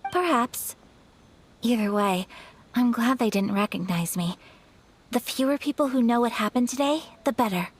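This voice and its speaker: A woman's voice: fairly high pitch, lively intonation, moderate pace, slight background noise, lightly acted. A woman voicing a gracious, dutiful young noble lady in a video game, speaking in a formal, polite and composed way. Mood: modest. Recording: faint game music behind.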